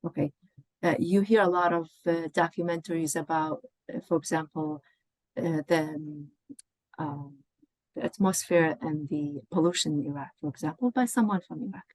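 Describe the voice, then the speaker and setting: Low-pitched woman teaching, dry close sound. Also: normal speed, a little mellow, dry recording, rough recording. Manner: conversational, often checks in with "okay" and "you know". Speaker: Arabic language professor. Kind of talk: informal online teacher-training lecture. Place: indoors, online workshop over video call. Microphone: video call microphone.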